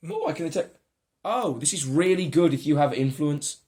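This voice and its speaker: A man casually playing a board game with friends, reading cards aloud and getting excited. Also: fairly high pitch, moderate pace. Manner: excitable, reads card text aloud.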